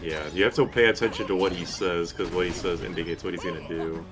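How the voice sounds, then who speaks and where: A man's voice: deep voice, steady tone, fast talker. A man chatting casually as he co-commentates a video game, joking and swearing freely. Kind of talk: casual gaming commentary chat. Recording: video game music behind.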